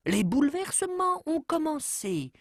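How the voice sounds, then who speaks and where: A woman narrator with a high voice that swings widely in pitch. She tells an explainer cartoon like a fable, phrasing each thought deliberately and without hurry, in a dry, close recording. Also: sing-song, no room sound. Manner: measured storytelling delivery with deliberate phrasing. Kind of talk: scripted narration of an educational cartoon, told like a storybook tale.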